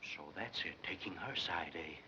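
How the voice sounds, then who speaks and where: Pompous nasty schoolmaster, sharp low voice, old movie melodrama, noisy dull recording. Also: male voice, animated, normal speed, full character voice. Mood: vindictive. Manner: insinuating, domineering, accusatory. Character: bullying, prying, pompous male schoolmaster interrogating a pupil. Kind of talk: vintage film melodrama acting. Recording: muffled vintage film sound, noisy.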